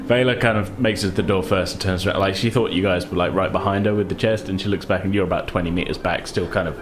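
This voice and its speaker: A man's voice: low voice, steady tone, quick pace, background noise. A man running a tabletop role-playing game as game master, narrating in a conversational, casual and amused way.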